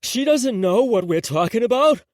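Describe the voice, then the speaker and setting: A cocky young man with a short fuse, pitched up and loud, as a male reader puts him on. The sound is dry and close. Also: animated, normal speed, dry recording. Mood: smooth. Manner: smooth then hollering. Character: cocky young man who loses his temper.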